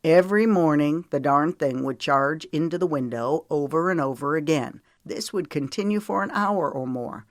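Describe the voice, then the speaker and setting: A woman's voice: low-pitched, normal speed, hardly any pauses, no echo, natural voice. A woman narrator voicing a protective, weary man in an audiobook, tender but stern, with an earnest, lecturing manner. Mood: thoughtful. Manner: earnest, lecturing, folksy storytelling.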